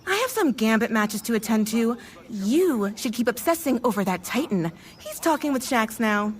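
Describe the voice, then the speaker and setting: A woman's voice: on the high side, sing-song, normal speed. A woman playing a gossipy, sisterly companion spirit in an audio drama, with a knowing, teasing way of talking. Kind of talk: fan audio drama dialogue.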